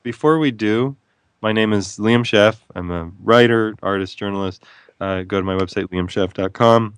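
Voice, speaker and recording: A man's voice: fairly low pitch, normal speed, clean tone, no echo. A man who is an author, talking as a guest in a casual radio interview, playful and wry, wandering off on tangents.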